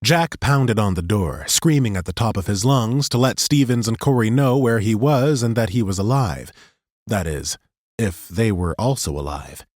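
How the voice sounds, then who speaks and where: Low-voiced male audiobook narrator. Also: no echo, studio quality. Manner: steady, measured storytelling delivery. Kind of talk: scripted fantasy audiobook narration.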